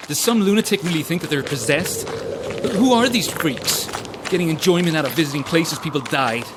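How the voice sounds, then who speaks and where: An actor playing an earnest, protective, devout husband in an audio drama, urgent and pleading in an intimate way. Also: male voice, a little high, expressive, normal speed, background noise, lightly acted. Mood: alarmed, incredulous. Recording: clear ambient sound behind voice.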